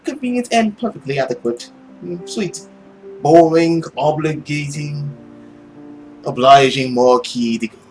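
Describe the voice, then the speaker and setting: A man voicing a smug, scornful nobleman villain in a visual novel, drawling and sarcastic with theatrical pauses. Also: a little high, darkish tone, faint music behind, voice pushed down, lightly acted, poor audio. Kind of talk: visual novel character acting.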